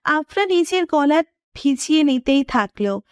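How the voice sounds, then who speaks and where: A woman's voice: high-pitched, animated, unhurried, dry recording, studio quality. A woman reading scripted narration for a romantic story as its narrator.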